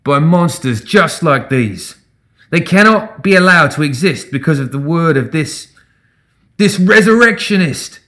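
A man's voice: fairly high pitch, expressive, unhurried, bright, forceful tone, pitched above the performer's own voice. A grizzled male firebrand from a fantasy audiobook, acted out by a male narrator. He orates in a furious, raised roar, spluttering with outrage. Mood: rousing outrage. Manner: roaring, spluttering oratory. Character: grizzled furious male rabble-rouser, slum spokesman.

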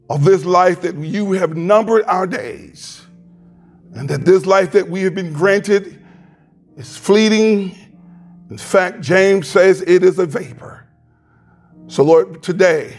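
Fervent pastor with a high, slow voice. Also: male voice. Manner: fervent, slow and deliberate, loud voice. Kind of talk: sermon with prayer and scripture reading. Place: church sanctuary. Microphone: handheld microphone.

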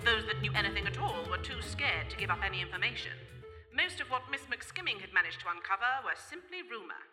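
A woman's voice: medium pitch, animated, fairly quick. A woman playing the storytelling narrator of a mystery radio play. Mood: measured storytelling. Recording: reverberant hall, live stage recording. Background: loud music.

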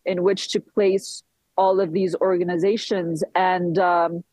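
A woman from Switzerland giving live political commentary as a guest, speaking haltingly with frequent pauses. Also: on the low side, level tone, a little mellow, no room sound, poor audio. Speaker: guest commentator reporting from a conference town.

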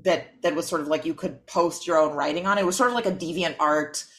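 Low-voiced woman, thoughtful and halting. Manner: thoughtful, many ums and restarts. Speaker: podcast guest, author and critic. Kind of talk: casual interview conversation.

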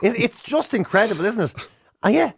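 High-pitched Irish man bantering on radio. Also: brisk, creaky, breathy edge, dry recording, clean studio sound. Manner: animated, acts out quoted complaints in mock outrage. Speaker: radio show co-host. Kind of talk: casual comic banter, retelling anecdotes. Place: radio studio.

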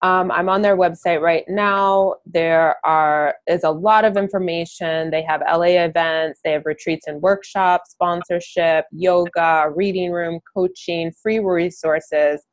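Woman, podcast host, deep voice, soft-spoken and reflective, dead dry recording. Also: fairly even intonation, unhurried, few pauses, no echo. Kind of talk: casual interview chat.

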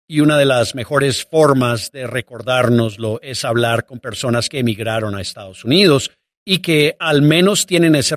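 Podcast host guy, upbeat and earnest, mid pitch, dry sound. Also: no echo. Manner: earnest, upbeat, patriotic praise. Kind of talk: welcoming interview chat.

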